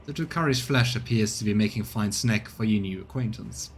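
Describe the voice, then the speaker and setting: A man's voice: on the low side, brisk, vocal fry, a little hiss or hum. A man streaming a game, reading its text aloud as narration and adding casual comments of his own. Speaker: streamer, let's player.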